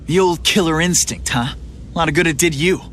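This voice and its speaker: An actor playing a sarcastic, joking young superhero in a game cutscene. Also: male voice, a little high, a little fast, a bit bright, slight background noise, lightly acted. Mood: wisecracking. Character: wisecracking young male superhero.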